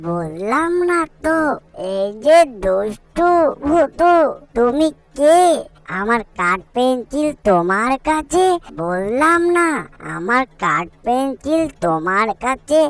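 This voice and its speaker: A woman's voice: fairly high pitch, sing-song, takes it slowly, no room sound. A woman voicing an earnest, plaintive small child in a cartoon. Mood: startled, puzzled. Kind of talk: cartoon character acting.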